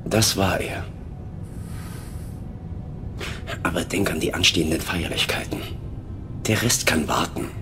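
Male actor, loyal cautioning knight, deep voice, earnest, firm, game drama dialogue, prominent music. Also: moderate pace, natural voice. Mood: consoling, firm.

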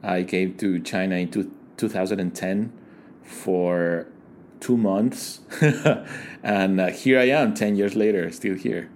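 Low-voiced man. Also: fairly even intonation, a little mellow, slight background noise. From Ecuador. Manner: thoughtful, halting, many fillers and restarts. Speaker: guest, digital design leader. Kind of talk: casual interview conversation.

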